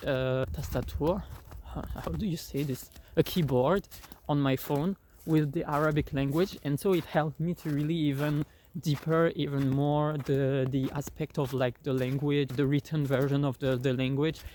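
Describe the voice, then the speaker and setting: A young man with a French accent rambles through an app review, stacking fillers and restarting his sentences. His voice sits slightly high for a man. He records outdoors on his phone, with a faint hiss behind him. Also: a little mellow. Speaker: vlogger. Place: outdoors in cold weather. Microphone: phone held by the speaker.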